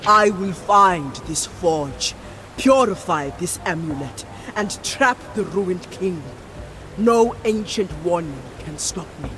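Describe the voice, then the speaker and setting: A woman's voice: lowish voice, slow pace, background noise. A woman playing a resolute, devout priestess in a video game, speaking in solemn, emphatic declarations. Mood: defiant, determined. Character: resolute, devout priestess champion defying her elders. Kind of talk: dramatic video game acting. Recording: music behind the voice.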